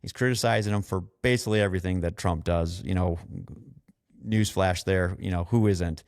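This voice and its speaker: American male podcast host, lowish smooth voice, blunt and exasperated opinion style, dry sound. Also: moderate pace, a little mellow, no echo. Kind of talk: opinionated casual podcast rant.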